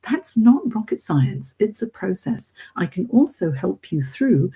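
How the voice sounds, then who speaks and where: A woman's voice: mid-range voice, very animated, measured pace, mellow, husky. A middle-aged woman with a British accent, hosting an informal live coaching session and speaking directly and encouragingly to her listeners. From Hong Kong, as a British expatriate. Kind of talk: informal live-stream coaching talk to an audience.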